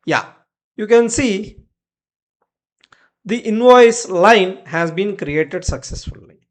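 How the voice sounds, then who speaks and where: A tutorial presenter narrating step-by-step software instructions in short, clipped commands. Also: medium pitch, expressive, slow pace.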